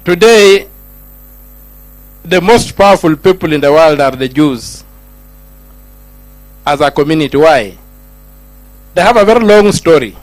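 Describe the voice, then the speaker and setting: A middle-aged Kenyan man addresses a gathering as a senator, his voice sitting high for a man. He builds his points in rising, emphatic lines and shifts between Swahili and English. The sound is dry and close, with a faint mains hum underneath. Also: lively intonation, unhurried, long pauses, no echo, poor audio. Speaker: senator giving a speech. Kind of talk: public speech at a gathering.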